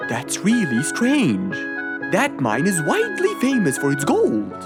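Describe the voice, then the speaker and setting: A woman voicing a helpful, lively male fox in a children's cartoon, expressive and obliging. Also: fairly low pitch, unhurried, over loud music. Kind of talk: children's cartoon character acting.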